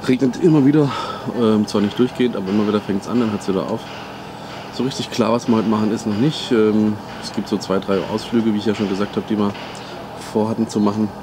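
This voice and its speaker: A man's voice: fairly low pitch, fairly quick. A man in his thirties chatting casually as a vlogger, rambling with fillers and searching for words, filmed on a camera in a tent and then in a car. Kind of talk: casual vlog chat. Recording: loud background noise from rain and car.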